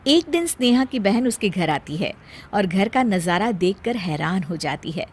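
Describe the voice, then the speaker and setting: A woman's voice: mid-range voice, lively intonation, normal speed, a little hiss or hum. A woman narrating a scripted moral story as a storyteller.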